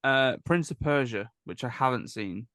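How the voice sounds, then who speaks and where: A man's voice: medium pitch, moderate pace, a bit bright, clean tone. A man hosting a casual chat, moving things along with short questions and dry quips. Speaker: podcast host moderating a ranking.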